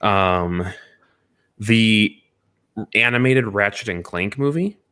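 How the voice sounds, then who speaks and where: A man with a fairly low voice talks as a co-host in a relaxed group discussion. He states his opinions readily, then qualifies them in everyday conversational phrasing. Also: slow pace, clean tone. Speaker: podcast co-host. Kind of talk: casual group discussion of movies.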